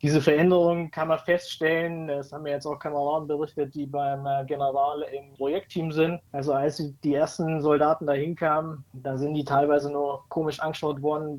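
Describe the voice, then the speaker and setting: A man's voice: fairly high pitch, a little mellow, low-quality recording. A man with a Bavarian accent, a team captain speaking earnestly in a panel discussion.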